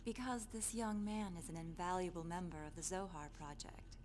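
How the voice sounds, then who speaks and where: A woman's voice: fairly low pitch, normal speed, darkish tone, slight background noise, close to an everyday voice. Actress as a self-assured, friendly female scientist. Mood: calm, kindly. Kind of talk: video game cutscene dubbing.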